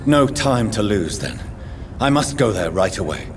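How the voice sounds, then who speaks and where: Gentleman doctor vampire, game drama: lowish pitch, wide inflection, formal, courteous, earnest, music underneath. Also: big pitch swings, a little slow. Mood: urgent. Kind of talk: video game drama acting.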